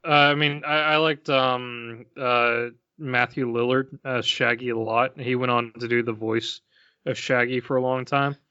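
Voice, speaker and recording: Bright, crisp-voiced podcast co-host man. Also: mid-range voice, measured pace, no room sound. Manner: relaxed, thoughtful with many fillers. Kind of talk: casual chat. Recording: dry, dull lo-fi podcast audio.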